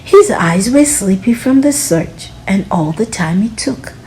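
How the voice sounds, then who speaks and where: A woman reading a children's storybook aloud in an expressive, dramatic way, drawing out words for young listeners. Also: medium pitch, a little slow, slight background noise, low-quality recording.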